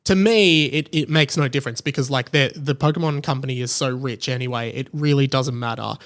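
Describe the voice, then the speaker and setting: A man's voice: medium pitch, brisk, fairly bright tone. A young man hosting a podcast, giving casual opinion commentary in a conversational, emphatic way peppered with "like". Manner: conversational, emphatic, heavy use of "like". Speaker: podcast host.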